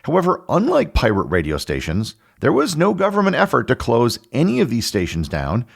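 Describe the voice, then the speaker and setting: A middle-aged American man hosting a podcast, reading scripted educational narration in a measured, explanatory way. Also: mid-range voice, huge pitch swings, creaky, husky. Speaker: podcast host.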